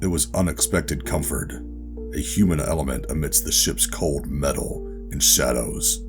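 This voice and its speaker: An actor playing a gruff, guarded covert operative who narrates a sci-fi horror audio drama in a brooding, deliberate way. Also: male voice, rumbling low, over music. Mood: brooding, ominous. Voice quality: gravelly. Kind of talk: first-person scripted audio drama narration and dialogue.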